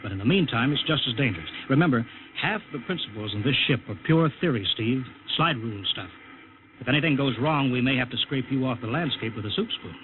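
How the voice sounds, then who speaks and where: A man's voice: medium pitch, lively intonation, quick pace, close to an everyday voice. An actor playing a male project chief who briefs a test pilot in a 1950s radio drama, urgent and emphatic. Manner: urgent, emphatic, gruffly joking. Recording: lo-fi old radio recording with hiss.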